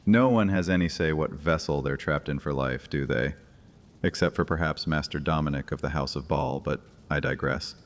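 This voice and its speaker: An actor playing a bitter, mocking, thousand-year-old vampire exile with a theatrical, sardonic delivery in an audio drama. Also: male voice, a bass voice, moderate pace. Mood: sardonic, taunting. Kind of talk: dramatic audio fiction acting.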